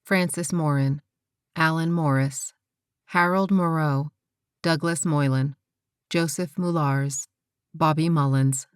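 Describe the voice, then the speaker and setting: A woman reading a formal memorial roll call of names in a measured way, pausing after each one. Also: sits low, fairly even intonation, clean tone, dry recording, studio quality. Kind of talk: formal read-out list of names.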